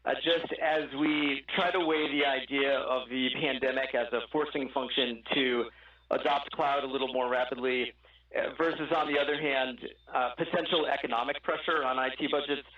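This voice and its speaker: A man's voice: medium pitch, fairly even intonation, moderate pace. A man calling in as a financial analyst, putting a question on an earnings call. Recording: muffled, echoey, distant call line.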